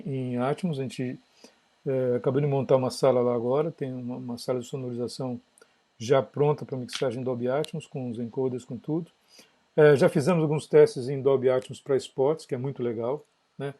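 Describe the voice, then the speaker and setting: A man's voice: mid-range voice, dark tone. A man from São Paulo state, a guest audio engineer, chatting casually on a live podcast and explaining at length with frequent tag questions. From São Paulo state, Brazil.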